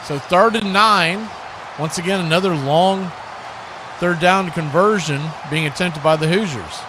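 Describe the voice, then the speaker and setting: High-voiced man calling football over loud noise. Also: measured pace. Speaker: play-by-play announcer. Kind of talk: live American football play-by-play commentary.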